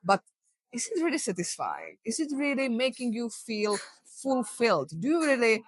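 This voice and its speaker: A woman's voice: fairly high pitch, big pitch swings, normal speed, dry recording. A young adult woman with a Czech accent, a guest health coach talking earnestly in a casual podcast interview, with many fillers. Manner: earnest, encouraging, many "you know" fillers.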